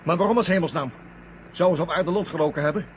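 An actor playing an excitable, anxious astronaut crewmate in a 1970 science-fiction radio drama, urgent and questioning. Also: male voice, on the high side, fast, natural voice. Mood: alarmed, suspicious. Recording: muffled, noisy old radio drama recording.

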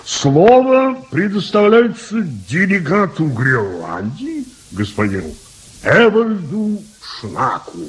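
Husky, wildly animated conference chairman. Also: on the high side, takes it slowly, full character voice, poor audio. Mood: solemn, official. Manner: formal, official, emphatic. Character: agitated male conference chairman calling delegates to order. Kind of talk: radio play acting.

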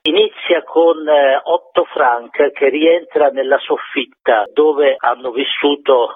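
A man, a theatre director, talking conversationally in a radio interview in a husky voice. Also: a little high, fairly even intonation, takes it slowly. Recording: thin narrow-band telephone line.

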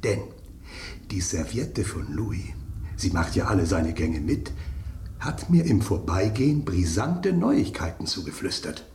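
An actor playing a gossipy, knowing spoon in a German radio drama, telling things with suspense and dramatic pauses. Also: male voice, sits low, big pitch swings, a little mellow, a little hiss or hum, full character voice. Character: gossipy, knowing talking spoon, relishing scandal.

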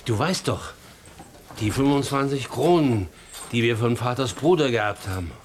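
A man's voice: mid-range voice, expressive, a little hiss or hum, close to an everyday voice. An actor playing an aging, poor farmhand father in a German radio drama, humble, hesitant and simple-hearted. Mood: earnest. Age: older. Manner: halting, simple-hearted delivery.

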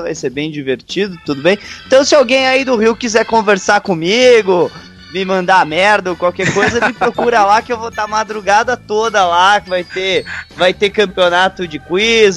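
High-voiced man chatting casually. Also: a bit bright. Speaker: podcast guest. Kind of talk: casual chat, announcing events.

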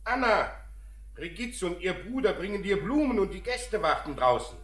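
A man's voice: sits high, big pitch swings, moderate pace, fairly bright tone, faint music behind. A man playing an anxious father of a bride in a radio drama. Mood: anxious, urgent.

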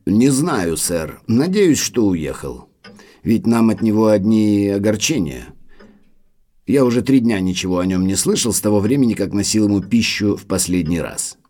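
Deferential manor butler, audio drama: low, expressive, uneasy, speaking with grave foreboding. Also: male voice, normal speed. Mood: guarded, deferential.

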